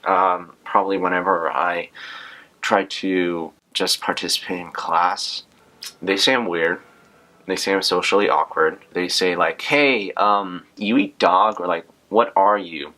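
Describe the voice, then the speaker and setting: Deep-voiced teenage boy, bright edge. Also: a little slow. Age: teens. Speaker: high school student interviewee. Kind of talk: documentary interview.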